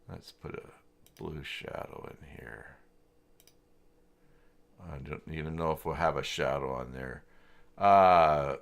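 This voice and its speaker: A man hosting a casual live software tutorial, thinking aloud in an informal, unscripted way as he works through the steps. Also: a bass voice, creaky. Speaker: livestream host and software tutor.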